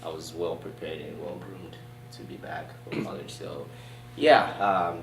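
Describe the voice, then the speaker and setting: A middle-aged man with a mixed Nigerian and British accent, telling his story as an interview guest in a relaxed, easygoing way, with many fillers. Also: low-pitched, measured pace, very noisy. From born in Boston, raised in Nigeria. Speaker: gym owner, guest. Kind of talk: casual interview. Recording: roomy distant sound in a gym.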